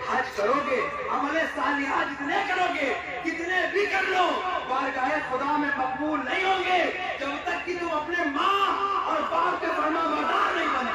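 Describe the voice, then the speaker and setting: A young man preaching an Eid sermon in an impassioned, shouted style with rhythmic repetition. He is heard from a distance at a large prayer gathering. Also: extremely high pitch, measured pace, hardly any pauses. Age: young adult. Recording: echoey hall, distant mic, loud crowd. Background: loud crowd.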